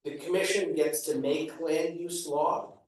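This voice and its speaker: A man on a town commission, explaining procedure at a public meeting in a measured way with many fillers. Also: a little high, fairly even intonation. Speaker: commission member. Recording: echoey room, distant mic.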